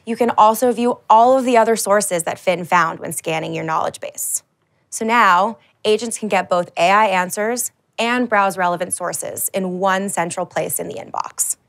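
A woman in her thirties giving a scripted product demo as a marketing presenter, with a polished, upbeat, clearly enunciated delivery. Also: mid-range voice. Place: large dark indoor studio stage.

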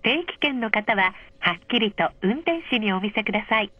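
A woman reading a polite, measured scripted announcement over a train's speakers, clearly enunciated. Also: medium pitch, lively intonation, no room sound. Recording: dull, muffled train speaker recording.

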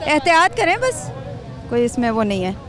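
A woman's voice: high-pitched, very animated, moderate pace, over music. A Pakistani woman out shopping, giving brief casual answers in a street interview. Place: crowded outdoor street market. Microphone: handheld reporter microphone. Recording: busy market crowd noise.

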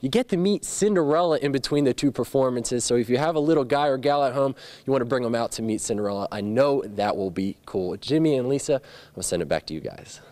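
A man's voice: mid-range voice, animated, fast talker, darkish tone, no room sound. A young male reporter in his twenties interviews guests inside a big theater, holding a handheld mic close. The sound is dry and direct, his tone upbeat. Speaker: field reporter. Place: large indoor theater.

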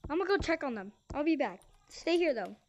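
A person hosting an in-game talent show in casual chat, with the voice run through a pitch-raising voice changer, sounding like a woman. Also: very animated, fairly quick, noisy background. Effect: high-pitched voice changer. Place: virtual reality game session. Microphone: VR headset mic.

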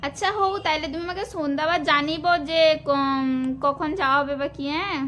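A woman speaks in a clearly high voice with a rural Medinipur Bengali accent. Her delivery is informal and chatty, like a vlogger talking on a casual call. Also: fairly quick, pushed and bright, slight background noise. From West Bengal, India. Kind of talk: casual chat.

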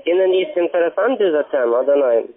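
Casting: male call centre agent, slightly high voice, foreign accent, halting non-native phrasing, phone-quality audio. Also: animated. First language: not German. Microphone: telephone. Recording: tinny narrowband phone line.

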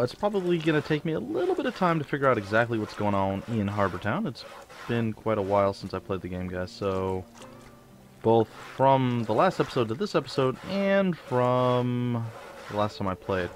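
A man with a lowish voice and a stuffy, sniffly nose talks through a video game as its host. He rambles in a loose, casual way with self-joking asides, speaking into a desk mic at home. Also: a little hiss or hum. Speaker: let's play host. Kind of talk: casual gameplay commentary.

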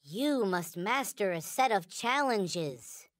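A tiny toy space alien from a video game, naive and endlessly grateful. The voice is high and childlike, delivered at an unhurried pace with lively rises and falls. The phrasing is stilted, solemn and formal, as if everything were sacred. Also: male voice, no echo, exaggerated voice. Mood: solemn, reverent. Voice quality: high-pitched, childlike cartoon voice. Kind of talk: video game character voice lines.

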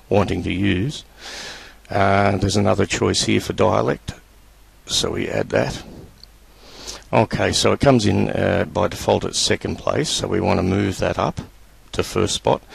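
A man presenting a screen-recorded computer tutorial, talking in a relaxed, halting way with many fillers. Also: low voice, creaky, husky.

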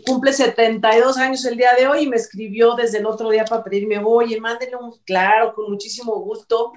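Mexico city lady hosting a casual chat show, kinda high voice, roomy and a bit far from the mic. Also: steady tone, fairly quick. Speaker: show host. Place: home room, indoors. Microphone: video call, off the mouth. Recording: roomy, distant home video-call sound.

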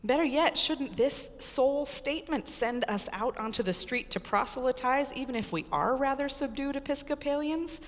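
A middle-aged American woman priest giving an earnest, emphatic sermon with deliberate pauses and repetition. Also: high voice. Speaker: Episcopal priest preaching. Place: large church with congregation, indoors. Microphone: pulpit microphone. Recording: echoey church hall reverb.

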